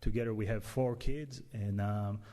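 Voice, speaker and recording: A man from Israel, giving an informal, somewhat halting opening statement as a candidate. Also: fairly low pitch, steady tone, dark tone. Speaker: mayoral candidate.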